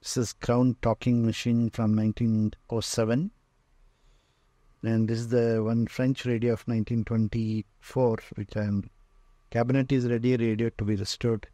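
A man with an Indian accent giving an unscripted museum tour in halting, short phrases, pausing often. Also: medium pitch, little pitch movement, warm tone, no echo. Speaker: museum owner and radio restorer giving a tour. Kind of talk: unscripted walk-through tour commentary. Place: indoor room lined with shelves of old radios.

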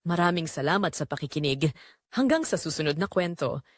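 A woman with a Filipino accent reads dramatic first-person scripted narration as a storyteller, in an emotional, expressive way. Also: sits low, fairly quick, dry recording, clean studio sound.

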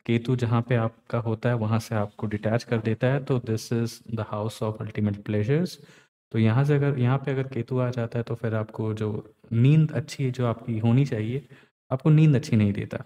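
A man's voice: medium pitch, fairly even intonation, moderate pace, warm tone. Man explaining charts in a reverberant room. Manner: explanatory, mixes English phrases into Hindi. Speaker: astrologer. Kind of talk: screen-recorded chart analysis. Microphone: voice-over on screen recording.

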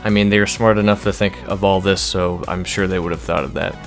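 Male gaming YouTuber, lower voice, conversational explainer with punchy emphasis, music underneath. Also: steady tone, a little fast. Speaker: video game news YouTuber. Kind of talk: casual gaming news commentary over gameplay. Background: background music under the voice-over.

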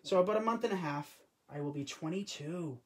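Brief: young male craft host, early twenties, relaxed and chatty, recorded at home on a camera over the table. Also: sits high, fairly quick. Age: 20s. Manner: chatty, loose and conversational with frequent restarts. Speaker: host of a live crafting stream. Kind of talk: casual live-stream craft demo. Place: home craft room, indoors. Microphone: camera or phone on a tripod over the table.

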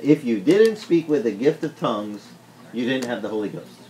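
A middle-aged man whose first language is Italian, preaching an animated teaching sermon indoors and asking the room questions. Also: mid-range voice, moderate pace, a little hiss or hum, poor audio. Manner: animated, gesturing broadly, asks the room questions. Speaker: pastor. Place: wood-paneled indoor room.

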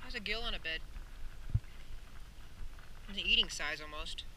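High-voiced teen boy fishing from a boat. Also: moderate pace. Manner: excited, chatty, talks to viewers as guys. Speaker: fishing vlogger. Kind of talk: casual running commentary while fishing. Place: small boat on a lakeside channel, outdoors. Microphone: action camera on the boat. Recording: loud wind and water noise outdoors.

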